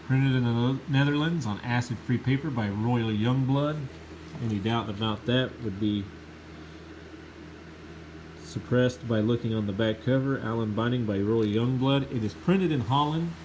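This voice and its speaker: A man's voice: medium pitch, moderate pace, noisy background. A man with a slight cold, sniffling a little, giving an enthusiastic, rambling casual review of a Bible.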